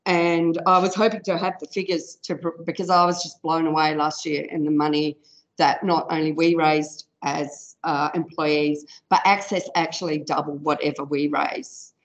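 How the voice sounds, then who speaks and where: A woman giving a conference talk in an informal, loud-voiced way, with frequent fillers. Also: low voice, level tone, moderate pace, lo-fi sound. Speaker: conference presenter, software product specialist. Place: conference room.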